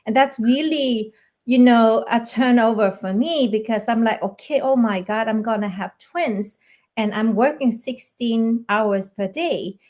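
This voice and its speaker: A woman's voice: a little high, a little slow, darkish tone. A middle-aged woman from Vietnam casually sharing her personal story as a guest on a live video call. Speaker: guest, business coach and part-time teacher.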